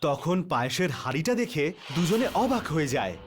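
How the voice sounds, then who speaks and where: A man with a somewhat high voice narrates a children's story. His scripted delivery is animated, with faint music underneath. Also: a little fast.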